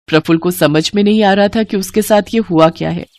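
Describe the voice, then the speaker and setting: A woman's voice: mid-range voice, fairly quick, darkish tone, a little hiss or hum. A woman storyteller reading scripted narration for a moral storybook tale.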